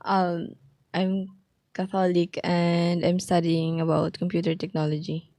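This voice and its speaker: A woman's voice: fairly low pitch, level tone, a little slow, warm tone, no room sound, studio quality. An eighteen-year-old woman, introducing herself hesitantly as a podcast guest. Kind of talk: self-introduction.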